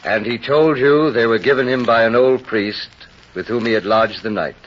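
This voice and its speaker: Male actor as elderly bishop: merciful, steadfast, measured, husky mid-range voice, old radio drama, dull recording. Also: mid-range voice, a bit bright, close to an everyday voice. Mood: kindly, calm. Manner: measured, solemn. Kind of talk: 1930s radio drama acting.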